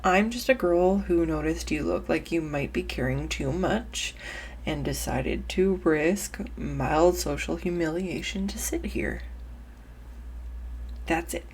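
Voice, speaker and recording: Actress as awkward young woman, low voice, unhurried delivery, nervous and self-correcting, kind, earnest, intimate audio roleplay. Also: darkish tone, husky, full character voice. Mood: soft, sincere. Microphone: close USB condenser microphone.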